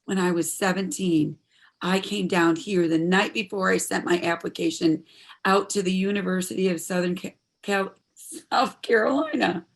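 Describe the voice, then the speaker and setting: A woman's voice: lowish voice, fairly even intonation, normal speed, gravelly. A woman playing an earnest, troubled daughter in her twenties in a stage drama, speaking in a heartfelt, pleading way. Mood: anguished. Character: earnest, troubled 26-year-old daughter, medical student. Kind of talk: stage play dialogue, audio performance.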